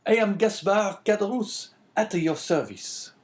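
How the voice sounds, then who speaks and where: A man's voice: high voice, lively intonation, a little slow, pitched above the performer's own voice. A man reading an audiobook, voicing a fawning, flustered middle-aged innkeeper who is eager to please. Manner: eager, bowing and apologetic. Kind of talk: audiobook character voice.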